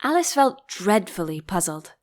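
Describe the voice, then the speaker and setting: A woman narrating a storybook audiobook in an expressive style. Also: medium pitch, normal speed.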